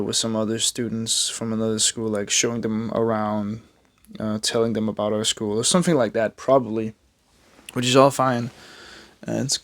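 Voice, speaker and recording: A man's voice: medium pitch, no echo. A young man from Denmark with a Danish accent, chatting casually while he draws, rambling and joking at his own expense. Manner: rambling, chatty, self-mocking, many fillers and restarts. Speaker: art vlogger. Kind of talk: casual chat while drawing.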